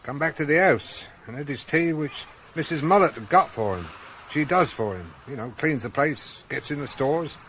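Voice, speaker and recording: An actor playing a chatty, deferential local police sergeant in a radio drama, folksy and matter-of-fact. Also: male voice, fairly high pitch, animated, moderate pace, a bit bright, a little hiss or hum. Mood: watchful, reporting.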